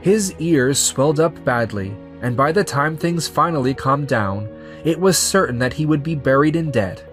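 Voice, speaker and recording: A man's voice: on the high side, animated, moderate pace, soft background music. A man narrating a manga story in a scripted, storytelling style.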